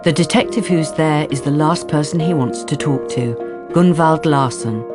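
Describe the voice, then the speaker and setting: Medium-pitched narrator over loud music. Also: normal speed. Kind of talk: scripted dramatic narration.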